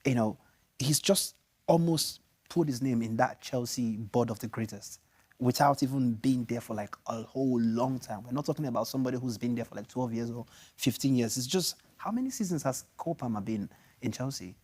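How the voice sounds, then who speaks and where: A Nigerian man with an Igbo mother tongue talks football on a studio chat show. His voice sits at an ordinary middle pitch, and he rambles with animated restarts and plenty of "you know". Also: fairly quick, breathy edge. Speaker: show co-host, pundit.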